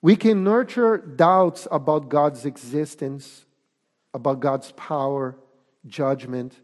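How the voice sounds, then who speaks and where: A man with a Portuguese accent preaching a sermon, speaking deliberately and emphatically with pauses. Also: fairly high pitch. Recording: long reverb of a hall.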